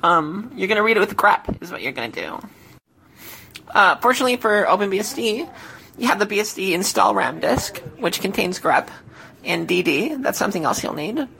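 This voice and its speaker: A woman podcast host with a hoarse, failing voice tells a tech story casually, in a halting, self-correcting way. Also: medium pitch, animated, pushed and bright, slight background noise, low-quality recording. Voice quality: hoarse, gravelly. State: hoarse, losing voice.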